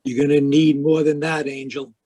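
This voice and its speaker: An actor playing a world-weary, wisecracking private detective in 1940s radio noir style. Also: male voice, fairly high pitch, little pitch movement, moderate pace, mellow, no echo, close to an everyday voice. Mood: terse, cynical. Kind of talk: 1940s radio noir acting, first-person narration. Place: home room, live video call performance.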